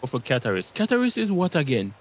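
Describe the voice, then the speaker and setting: A man's voice: mid-range voice, huge pitch swings, fast. A man giving casual, slangy, profane commentary as he streams a video game. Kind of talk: casual live gameplay commentary.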